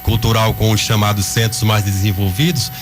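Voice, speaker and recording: Brazilian guy from the backlands, talks slow and careful like a teacher, radio studio, bit of music behind. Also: lowish voice, little pitch movement. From Pernambuco sertão, Brazil. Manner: thoughtful, measured, slightly professorial. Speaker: guest writer and linguist. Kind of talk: radio interview.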